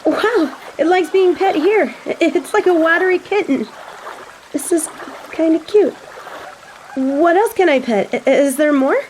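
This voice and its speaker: A woman's voice: very high-pitched, a little slow, a little mellow, a little hiss or hum, rough recording. A woman voicing a snobby but secretly sweet young angel who stammers and gets flustered, in a romantic audio roleplay. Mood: shy wonder. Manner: stammering, flustered, haughty when embarrassed.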